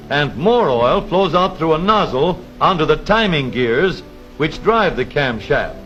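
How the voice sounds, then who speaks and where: A dull, lo-fi vintage film soundtrack with music underneath carries a man's narration. His delivery is formal and precise, in the manner of a 1930s educational film announcer. The intonation rises and falls in a very animated way as he explains things step by step. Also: fairly high pitch.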